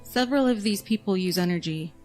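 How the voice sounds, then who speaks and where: A woman's voice: medium pitch, expressive, brisk, darkish tone, faint music behind, lightly acted. A woman playing a sarcastic, plain-spoken scout with strange powers in an audio drama, in a dry, grudging manner. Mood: tense.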